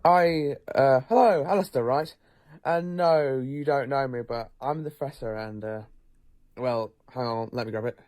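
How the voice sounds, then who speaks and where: A man acts an eccentric, brisk professor who travels through time, in an audio drama. His voice is soft-edged and mellow at a middling pitch. He muses in halting phrases and gives orders with authority. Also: no echo, close to an everyday voice. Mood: curious, hesitant.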